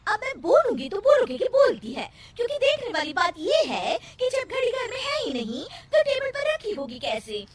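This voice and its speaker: A woman's voice: very high-pitched, very animated, moderate pace, few pauses. A woman voicing a cheeky, long-winded young daughter-in-law in a cartoon story, rambling in circles with mock modesty. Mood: chatty, smug. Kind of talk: Hindi cartoon moral story acting. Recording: distant mic, faint noise.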